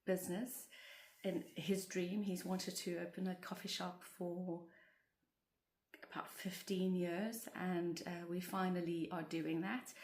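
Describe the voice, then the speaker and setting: Woman vlogger, croaky from a cold, unhurried, flat even pitch, gentle rambling chat, roomy echo. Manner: gentle, meandering, with many fillers. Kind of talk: casual chatty vlog to camera. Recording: roomy echoey room.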